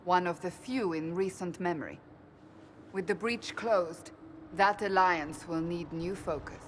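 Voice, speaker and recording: An actress playing a stern, resolute military advisor in a fantasy war game, with measured, formal delivery. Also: female voice, on the low side, a little hiss or hum, close to an everyday voice. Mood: grave, measured. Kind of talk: video game dramatic acting.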